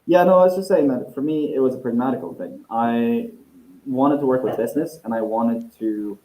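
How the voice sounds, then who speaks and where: A man's voice: medium pitch, lively intonation, warm tone, a little hiss or hum. Man on an echoey call. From Norway. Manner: thoughtful, with restarts and drawn-out phrases. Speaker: tech company CEO guest. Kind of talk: casual interview over a call. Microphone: video call.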